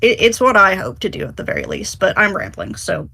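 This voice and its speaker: A woman's voice: medium pitch, wildly swooping pitch, a little fast, gravelly, sharp tone, breathy edge. A woman speaking casually as a panelist on a podcast, hedging and self-deprecating as she gives her view. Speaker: panelist, script writer.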